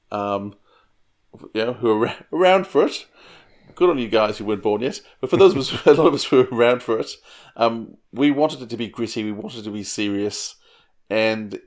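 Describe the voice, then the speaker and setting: A man in his forties co-hosting a relaxed podcast discussion, with a fairly low voice. He sounds thoughtful and earnest, now and then laughing lightly as he makes his points. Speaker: podcast co-host.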